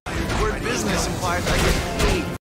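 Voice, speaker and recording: An actor playing a cocky, wisecracking young superhero in a video game, earnest underneath the sarcasm. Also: male voice, high-pitched, fairly even intonation, fairly quick, close to an everyday voice. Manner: quippy, sarcastic. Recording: loud music under the voice.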